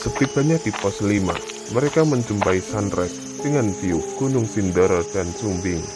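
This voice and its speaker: A man's voice: lowish voice, lively intonation, normal speed, music in the background. A man narrating a scripted story for a horror animation.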